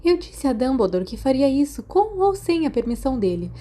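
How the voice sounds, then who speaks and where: Storybook school nurse, voiced by a woman: stern, caring, Brazilian accent, raised pitch, scolds protectively. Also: very animated, a little fast, warm tone. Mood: firm, caring.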